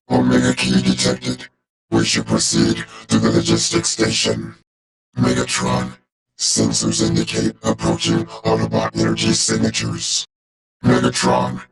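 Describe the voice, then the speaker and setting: A man voicing a cold, logical giant robot officer in a video game, with a gravelly synthetic monotone, a robotic filter and clipped, formal, deadpan delivery. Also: on the low side, full character voice, poor audio. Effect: robotic vocoder filter. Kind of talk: video game character voice acting.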